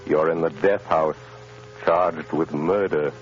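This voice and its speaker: A super deep slow spooky avenger, old radio drama, muffled broadcast. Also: male voice, steady tone, a little hiss or hum. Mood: ominous. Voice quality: booming, slow and ominous. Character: mysterious male avenger, commanding. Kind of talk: 1930s radio drama acting.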